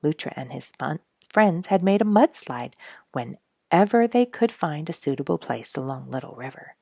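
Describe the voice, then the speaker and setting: Animated low-voiced woman reading a children's story. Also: normal speed, vocal fry, dry recording, studio quality. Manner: expressive, emphatic on sound words. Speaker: storybook reader and host. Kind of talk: children's storybook read-aloud.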